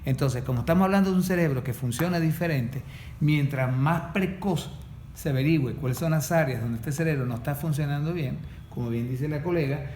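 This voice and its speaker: A man's voice: medium pitch, darkish tone, a little hiss or hum. A man, a course facilitator explaining in a didactic way and checking in with the listener. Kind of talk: explanatory podcast conversation.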